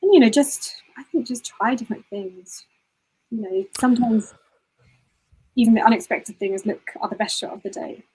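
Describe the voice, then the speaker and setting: A woman's voice: fairly high pitch, moderate pace, dark tone, slightly rough, poor audio. A woman photographer answering interview questions in a chatty way, often saying "you know" and restarting sentences. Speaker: guest photographer.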